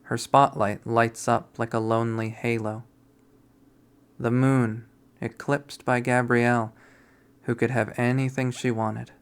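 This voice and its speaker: A man narrating a visual novel readthrough in a measured, brooding way. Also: mid-range voice, level tone, clear, resonant tone. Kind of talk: slow dramatic readthrough narration.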